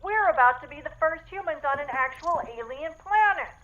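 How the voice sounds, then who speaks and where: A woman playing an eager, excitable space traveller in a sci-fi comedy audio drama. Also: sits high, a little fast, highs cut off. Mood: excited, insistent. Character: eager, excitable female space traveller thrilled by alien planets.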